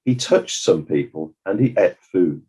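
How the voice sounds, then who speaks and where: A man playing a measured, judicious coroner, delivering a formal, deliberate summing-up in a dramatized courtroom inquiry. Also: deep voice, lively intonation, dark tone, husky, heavily acted. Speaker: presiding judge of inquiry.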